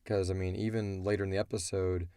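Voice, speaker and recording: Man, deep voice, mellow tone, casual rambling story discussion, colloquial phrasing. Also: little pitch movement, fast talker, clear, resonant tone, no echo, studio quality. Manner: rambling, colloquial, often voicing characters' thoughts. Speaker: audio drama creator answering listener questions.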